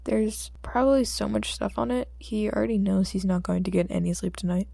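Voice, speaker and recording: A woman's voice: mid-range voice, level tone, a little fast, gravelly, warm tone, no echo. A woman reading scripted fiction as an audiobook narrator.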